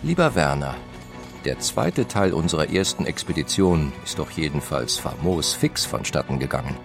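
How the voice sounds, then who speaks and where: Deep gravelly period engineer, animated letter reading. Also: male voice, over music, full character voice. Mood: earnest. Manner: brisk and expressive, formal old-fashioned phrasing. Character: nineteenth-century engineer brother writing home at sea. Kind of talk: reading a historical letter aloud.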